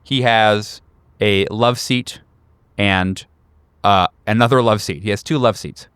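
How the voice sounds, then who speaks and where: A man narrating a tabletop horror game as game master, describing scenes with halting pauses mid-sentence. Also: on the low side, fairly even intonation, fairly bright tone, clean tone, no echo.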